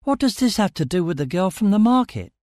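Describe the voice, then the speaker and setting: A man's voice: very high voice, big pitch swings, slow pace, darkish tone, no room sound, clean studio sound, voice pushed up, exaggerated voice. A man voicing the impatient, bewildered hero of a story, firing off blunt, urgent questions. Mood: puzzled.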